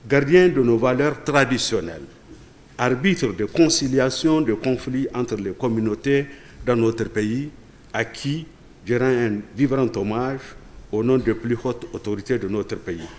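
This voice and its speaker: A man's voice: medium pitch, a little slow, a little mellow. A man from Niger, giving a formal ceremonial speech as a regional government official.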